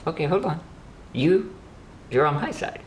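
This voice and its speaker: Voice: animated, a little slow. A co-host of a horror news show giving opinionated, casual commentary in an emphatic way, repeating words for effect. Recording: roomy echo, background rumble noise.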